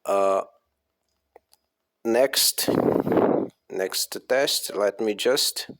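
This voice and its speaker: Hesitant man with a Portuguese accent. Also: medium pitch. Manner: hesitant, repeats words and phrases to practice them. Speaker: English learner practicing aloud. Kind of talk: reading aloud with casual commentary.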